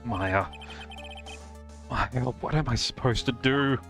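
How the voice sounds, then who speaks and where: A man as a bright-voiced, shaken lawyer. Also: medium pitch, soft background music. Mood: despairing. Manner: halting, dramatic, indignant. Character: earnest, shaken defense lawyer, an adult man. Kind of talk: live fan dub of a visual novel game.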